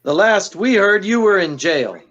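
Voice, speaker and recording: A man plays an unruffled, wry husband and detective from a 1940s radio drama. He speaks slowly and dryly, on the high side for a man. The recording is dull, with some background noise. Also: animated, a bit bright. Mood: wry, calm. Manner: dry, hesitant, wry. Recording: dull, noisy amateur recording.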